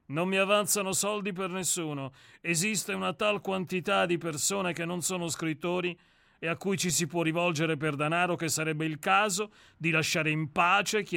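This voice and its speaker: An actor in a radio play playing an irritable, celebrated writer with a curt, haughty, commanding manner. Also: male voice, a little high, gravelly, sharp tone, no room sound.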